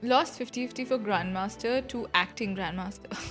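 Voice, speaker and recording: A woman streaming her reaction to a game. She talks informally in a mid-range voice, thinking out loud, with faint music behind her. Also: mid-range voice, moderate pace. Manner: informal, musing aloud. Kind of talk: casual live reaction commentary.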